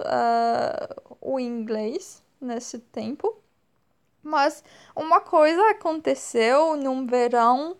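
A woman in her twenties from France with a French accent, speaking slowly and hesitantly as an interview guest, often pausing to search for words. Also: high-pitched, clean tone. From northern France. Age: 20s. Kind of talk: slow, careful speech with many pauses and fillers.

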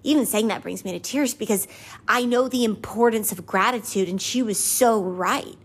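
A woman's voice: on the high side, normal speed, slightly rough. A woman in her twenties hosting a podcast, talking earnestly and confessionally about gratitude and faith. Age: 20s. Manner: earnest and confessional, voices her own doubts in a mocking lilt. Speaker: podcast host. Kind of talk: personal faith reflection monologue.

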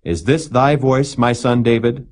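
A man's voice: mid-range voice, unhurried, pitched above the performer's own voice, lightly acted. Man voicing a grave, remorseful ancient king.

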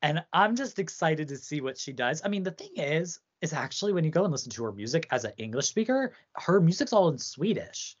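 Woman, low voice, loose rambling co-host banter, muffled lo-fi sound. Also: very animated, brisk, hardly any pauses, breathy edge. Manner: chatty, rambling, heavy use of "like". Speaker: podcast co-host. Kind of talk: casual chat about song contests.

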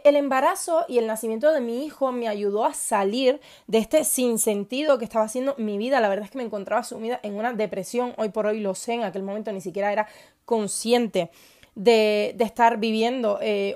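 A woman from Cuba hosting her own podcast, telling her personal story in a confiding, enthusiastic way with many pauses and fillers. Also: a little high, a little mellow. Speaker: podcast host.

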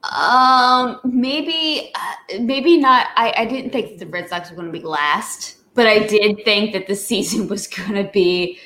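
A woman guesting on a podcast, talking casually and haltingly with many fillers and restarts. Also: mid-range voice, sharp tone. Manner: hesitant, lots of fillers and restarts. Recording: roomy echo.

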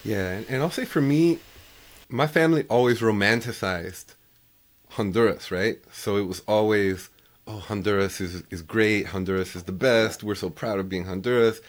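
A man's voice: lowish voice, moderate pace. A man hosting a podcast, chatting casually and telling stories in an upbeat way. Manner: upbeat storyteller. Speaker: podcast host. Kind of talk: casual conversation.

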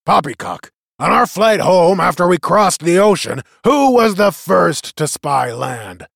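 A man's voice: sits high, very animated, unhurried, vocal fry, a bit bright, dry recording, studio quality, voice pushed up, heavily acted. A man doing a blunt stubborn veteran. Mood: emphatic. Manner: blunt, emphatic. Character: one-eyed male veteran, blunt and stubborn.

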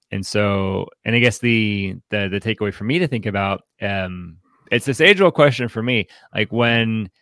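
A man co-hosting a casual, reflective discussion, speaking haltingly with repeated words, self-deprecating, in a gravelly voice. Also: fairly low pitch, fairly bright tone, dry recording. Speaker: podcast co-host.

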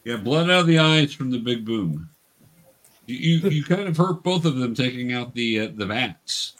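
A man's voice: mid-range voice, expressive, normal speed, a bit bright. A man acting as game master, casually and patiently explaining the rules of a tabletop game step by step.

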